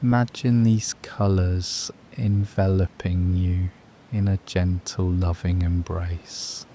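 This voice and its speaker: A man guiding a scripted meditation in a soothing, gentle, measured way, leaving long pauses between phrases. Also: low voice, little pitch movement, a little hiss or hum. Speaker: meditation guide.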